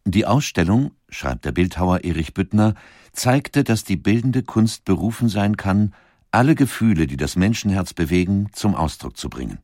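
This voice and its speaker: A man reading scripted documentary narration in a measured, articulate storytelling manner. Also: low voice, lively intonation, darkish tone, no echo, studio quality.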